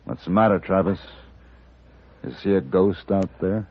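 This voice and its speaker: An actor playing a cool, unflappable hired gunman in an old radio western, deliberate and commanding. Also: low-pitched, lively intonation, husky, exaggerated voice. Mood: coolly sardonic. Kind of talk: 1950s radio western acting. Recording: dull old radio recording with music.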